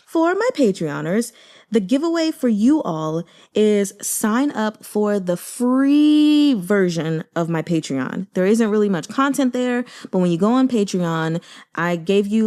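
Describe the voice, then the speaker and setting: Woman host just chatting to her listeners, soft mellow tone, opinionated n emphatic. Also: medium pitch. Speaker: audiobook reader and channel host. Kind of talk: casual reaction chat and announcements.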